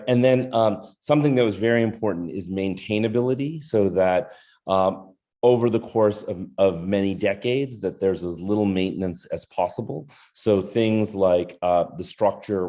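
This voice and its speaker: Deep-voiced man presenting, halting. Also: mellow. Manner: hesitant, many ums and uhs. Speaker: architect presenting a design. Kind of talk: presentation talk.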